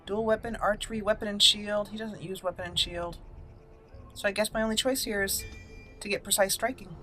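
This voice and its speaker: A woman's voice: medium pitch, fairly even intonation, a little fast, pushed and bright, soft background music. A woman hosting a casual game walkthrough, thinking aloud in short fragments as she plays. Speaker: gaming channel host.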